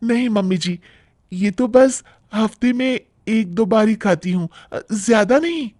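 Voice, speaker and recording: A man's voice: high voice, measured pace, darkish tone, dry recording, clean studio sound, pitched above the performer's own voice. A man voicing a sweet, whiny, naively greedy young wife in a cartoon story, coaxing and drawing out the words. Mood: eager, coaxing. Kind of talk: animated Hindi moral-story cartoon acting.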